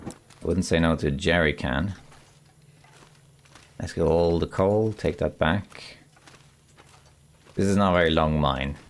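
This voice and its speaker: A man with a raspy voice, still getting over a cold, calmly commenting as he plays a survival game and thinks aloud. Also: low-pitched, slight background noise. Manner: relaxed, thinking aloud while exploring. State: recovering from a cold. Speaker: gameplay commentator. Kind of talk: calm let's play commentary.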